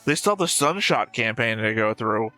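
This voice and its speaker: A man's voice: fairly low pitch, expressive, moderate pace, sharp tone, faint music behind. A man co-hosting a reaction show with casual, blunt, opinionated commentary and free swearing.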